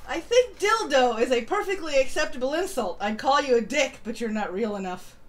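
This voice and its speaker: High pitched woman doing a casual stream, reading stuff out, sweary and jokey, bit of background noise. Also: animated, fairly quick. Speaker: co-host of a live stream show. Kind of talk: casual chat, reading articles and memes aloud.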